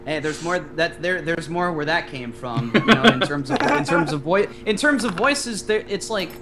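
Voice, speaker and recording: A man's voice: on the high side, animated, normal speed, a loud crowd. A man guesting on a casual podcast, talking about his voice acting with many fillers and restarts. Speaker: guest voice actor and director.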